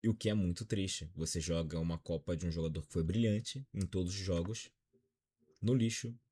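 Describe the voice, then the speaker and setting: A man's voice: deep voice, moderate pace, warm tone, husky. A Brazilian man in his twenties with a Brazilian accent, giving a dejected, frank football opinion vlog. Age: 20s. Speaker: football commentator.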